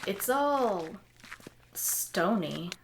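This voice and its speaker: Woman playing a mild, slow-talking young traveler. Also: medium pitch, expressive, gravelly, slight background noise, heavily acted, poor audio. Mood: uneasy. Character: mild, hesitant banjo-playing traveler named Charlie. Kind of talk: scripted audio drama acting.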